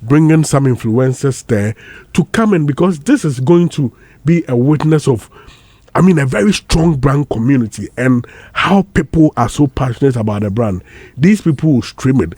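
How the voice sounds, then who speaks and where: A man's voice: mid-range voice, lively intonation, normal speed, warm tone, breathy edge, dry recording. A man, co-hosting a radio show and hyping an event in an upbeat way. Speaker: radio co-host. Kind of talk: casual studio banter.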